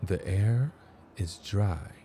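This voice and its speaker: A man narrating a guided meditation as host, in measured phrases with long pauses between them. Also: low voice, warm tone, a little hiss or hum.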